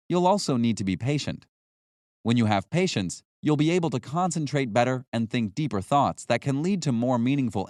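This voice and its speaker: Man narrating with lively, varied intonation. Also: mid-range voice, dry recording, clean studio sound. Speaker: audiobook narrator. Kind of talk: scripted nonfiction audiobook narration.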